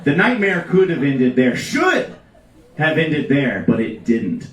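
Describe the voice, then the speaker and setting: A man reading a comic story aloud at a live event, with theatrical emphasis and dramatic pauses. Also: a little high, big pitch swings. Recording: echoey live room, distant mic, background noise.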